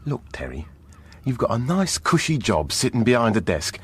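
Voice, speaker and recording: Male surveillance policeman, radio crime drama. Lively, husky, sarcastic grumbler. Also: mid-range voice, moderate pace. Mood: cynical, blunt. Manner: blunt, sarcastic. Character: cynical, grumbling male surveillance policeman, teasing but kindly.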